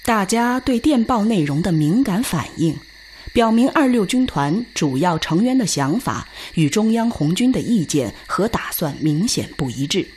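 A woman narrating a radio drama in a solemn, expressive, scripted storytelling style. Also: medium pitch, moderate pace, a little hiss or hum.

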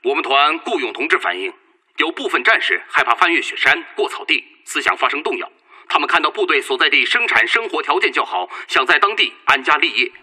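An actor playing an earnest, worried Red Army political commissar in a formal, emphatic radio drama style. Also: male voice, on the high side, sing-song, fairly quick, sharp tone, full character voice. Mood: urgent, respectful. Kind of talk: Chinese radio drama acting. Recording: echoey hall reverb, music underneath.

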